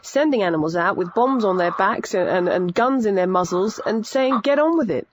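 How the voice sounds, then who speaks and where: An actress playing a blithe, patronising and assured author appearing as a guest on a spoof radio phone-in. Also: female voice, medium pitch, animated, normal speed, a little hiss or hum, lightly acted. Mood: callous, assured.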